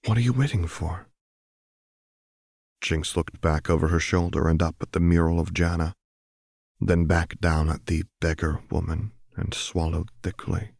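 A man's voice: low-pitched, little pitch movement, normal speed, creaky, darkish tone, no echo, clean studio sound. A man reading scripted fiction as a dramatic audiobook narrator.

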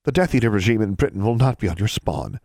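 A man voicing a prim woman schoolteacher with a formal, measured, admonishing manner, in an audiobook. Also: lowish voice, animated, gravelly, a little mellow, no room sound, studio quality. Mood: stern, reproving.

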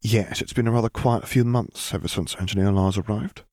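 A man voicing a prim, unflappable naval captain with sly wit in an audiobook, measured and understated. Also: on the low side, steady tone, darkish tone, dry recording, clean studio sound.

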